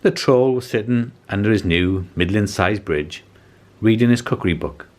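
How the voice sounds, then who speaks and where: Male storybook narrator: deep voice, highly varied intonation, expressive and deliberate. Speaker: storybook reader. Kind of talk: scripted children's story narration.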